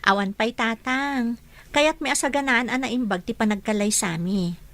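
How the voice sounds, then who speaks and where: High-voiced, caring young woman, acted. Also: moderate pace, fairly bright tone, lightly acted. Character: caring, dutiful young daughter and cheerful friend. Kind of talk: radio drama acting.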